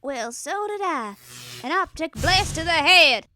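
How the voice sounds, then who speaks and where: A woman's voice: extremely high pitch, lively intonation, a little slow, sharp tone, faint music behind, heavily acted. A woman voicing a sassy, hot-tempered, sarcastic teenage goth girl with folksy slang in a cartoon parody dub. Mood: cocky.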